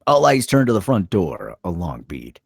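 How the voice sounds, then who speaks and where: Deep, husky male screenplay narrator. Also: huge pitch swings, normal speed, no echo, clean studio sound. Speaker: stage-direction narrator.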